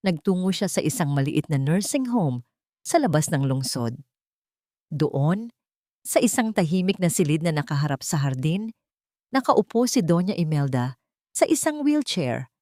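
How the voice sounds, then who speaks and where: Solemn Filipino woman narrator, low and dry. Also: darkish tone, no room sound. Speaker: audiobook narrator. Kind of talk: dramatic scripted storytelling narration.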